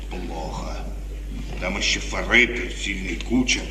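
An actor playing a menacing, sly outlaw in an insinuating 1950s radio theatre manner. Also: male voice, sits low, takes it slowly. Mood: probing, menacing. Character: menacing, sly outlaw bandit, sharp-featured killer. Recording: old echoey radio play, distant, noisy.

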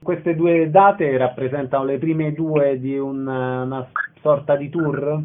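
A man's voice: medium pitch, fairly even intonation, slow. A man interviewing a guest in a conversational radio style. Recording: thin phone-like sound, heavy noise, clicks.

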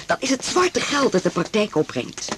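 An actress playing a genteel, calculating doctor's wife in a radio drama, with affected, gushing sales chatter. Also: female voice, medium pitch, very animated, moderate pace, noisy background, close to an everyday voice. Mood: gushing, persuasive. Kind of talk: 1980s Dutch radio drama acting.

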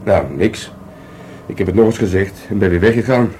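An actor playing a grumbling but playful police detective in a radio play, speaking informally with a Netherlands Dutch accent. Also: male voice, on the low side, normal speed, mellow, lightly acted. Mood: grumbling, sulky. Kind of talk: naturalistic radio play dialogue. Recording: faintly noisy radio play recording.

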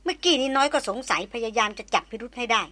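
A woman reading the part of a cool, sharp-tongued woman adventurer in an audiobook. She speaks slowly, crisply and formally, keeping a cold edge. The voice is bright and dry. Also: fairly high pitch, lively intonation, no echo, pitched above the performer's own voice. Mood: guarded.

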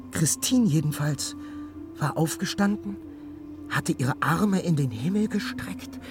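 An actor playing a sensitive young nobleman in a German radio drama, recounting an uncanny experience with halting, awestruck delivery. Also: fairly high pitch. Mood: rapturous.

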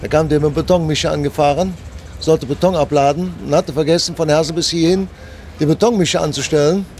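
A man's voice: a little high, steady tone, quick pace, a little mellow. A man working as a market vendor, chatting casually and colloquially outdoors. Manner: colloquial, earthy wording. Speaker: market egg seller. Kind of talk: casual chat between customers. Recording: outdoor street noise, traffic behind.

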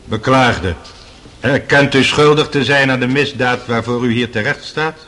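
A man's voice: mid-range voice, unhurried, fairly bright tone, natural voice. A man acting in a radio drama as a brusque, formal, middle-aged court president with an air of authority. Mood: stern, official. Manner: formal, official.

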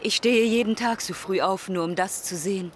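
An actress playing a friendly, solitary motel keeper who chats in an unhurried way in a radio play. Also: female voice, medium pitch, a little hiss or hum, lightly acted. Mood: friendly, calm. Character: friendly, solitary female motel keeper in American desert town.

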